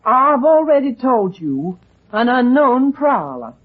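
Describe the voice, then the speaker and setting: A woman's voice: sits high, sing-song, takes it slowly, exaggerated voice. An actress playing a coy, sugary, evasive Southern woman with an American Southern accent in a vintage radio drama. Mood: defensive. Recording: muffled vintage radio recording.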